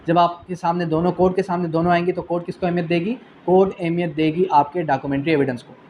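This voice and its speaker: Higher-voiced Pakistani man teaching law. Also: steady tone, fast talker, darkish tone, slight background noise. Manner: didactic, asks and answers own questions, repeats key phrases; switches into English for key terms. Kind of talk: exam revision lecture.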